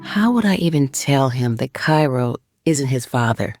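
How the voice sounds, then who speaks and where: An actress voices a middle-aged mother telling her own dramatic story. The woman's voice is exceptionally low and reflective, torn over a forbidden love. Loud music runs beneath the narration. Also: lively intonation, normal speed. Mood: wry, worried. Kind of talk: first-person dramatic narration.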